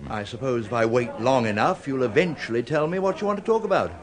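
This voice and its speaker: A man's voice: mid-range voice, fast, natural voice. An actor playing a dry, cautious, thrifty English clerk in his sixties in a radio play, speaking in a fussy, old-fashioned manner. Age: 60s.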